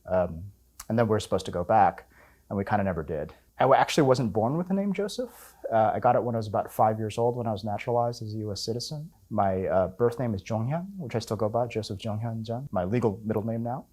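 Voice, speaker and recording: A man of about fifty telling his family story in an oral history interview, relaxed and full of fillers and restarts. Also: fairly low pitch, quick pace. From United States. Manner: relaxed, many fillers, self-correcting.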